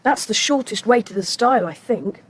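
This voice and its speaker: A woman's voice: fairly high pitch, lively intonation, normal speed, natural voice. A woman playing a sensible, decisive older schoolboy who leads his group in a children's audio drama. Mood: decisive, brisk. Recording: slightly noisy tape transfer.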